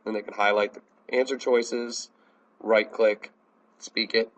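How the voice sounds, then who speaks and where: An American man teaching a software tutorial in a plain, step-by-step instructional manner. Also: lowish voice, flat delivery, a little slow, rough recording. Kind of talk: instructional screencast narration.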